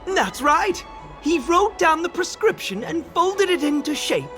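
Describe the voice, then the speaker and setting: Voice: very high voice, sing-song, a little slow, fairly bright tone, faint music behind, exaggerated voice. An actor playing a wry, dramatic comic performer in a video game, with a dry storytelling delivery. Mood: helpful.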